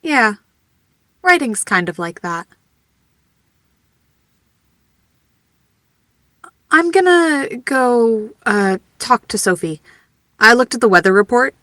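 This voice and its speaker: A woman's voice: on the high side, animated, measured pace, sharp tone, no room sound. A woman playing a chatty, casual, teasing writer in a naturalistic fiction podcast. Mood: casual, playful.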